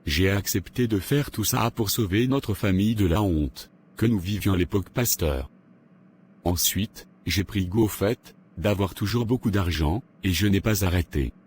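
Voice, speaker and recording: Low-voiced repentant father, played by a man. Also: normal speed, a little mellow, a little hiss or hum, close to an everyday voice. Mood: contrite confession. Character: greedy, repentant middle-aged father confessing his wrongs. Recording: music under the voice.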